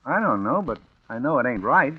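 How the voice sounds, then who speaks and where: Suspicious, colloquial American regular guy, raspy and animated, blunt vintage radio-play delivery. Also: mid-range voice, fairly quick. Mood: suspicious, grumbling. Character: plain-spoken, streetwise, suspicious working-class American man. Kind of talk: 1940s radio drama acting. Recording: muffled old radio recording, faint music.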